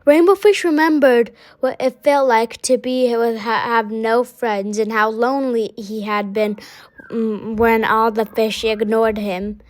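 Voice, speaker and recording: A woman reading a children's story aloud in a halting way, sometimes stumbling over words. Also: on the high side, steady tone. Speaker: storybook reader.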